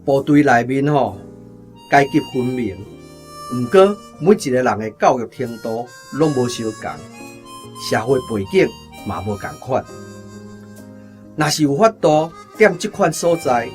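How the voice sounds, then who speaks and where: An actor playing a brash, lazy veteran soldier with a Taiwanese accent, boastful and offhand, in a radio drama. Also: male voice, mid-range voice, expressive, a little slow, long pauses, a little mellow, faint music behind, natural voice. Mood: self-assured. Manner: cocky, offhand.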